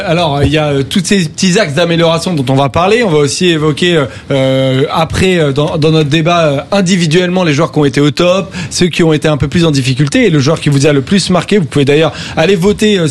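A man hosting a radio sports debate, chatty and lively, steering the panel. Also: a little high, fairly quick, hardly any pauses. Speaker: radio show host.

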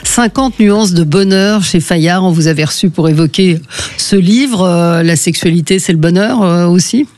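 A woman hosting a radio talk show, briskly introducing guests and questioning a caller with frequent fillers. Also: on the low side, a little mellow.

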